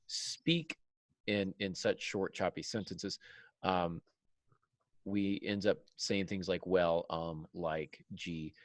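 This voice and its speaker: A man's voice: deep voice, no room sound. A man talking conversationally as a podcast host about his craft, emphatic and wry, often stopping to correct himself. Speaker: podcast host, writer and writing teacher.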